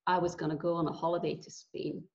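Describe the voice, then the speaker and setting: A woman from Belgium in her forties, a guest telling her story with pauses and fillers over a video call from home. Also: on the low side, flat delivery. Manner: earnest, reflective, with many pauses and fillers. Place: bright room at home. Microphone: video call webcam. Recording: dull lo-fi video call audio.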